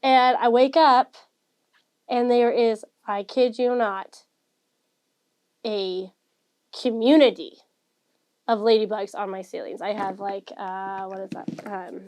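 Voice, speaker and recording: A woman's voice: medium pitch, fairly even intonation, slow pace, pauses often, a little mellow. A young woman co-hosting a podcast, telling rambling, self-deprecating stories in casual chat. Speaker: podcast co-host.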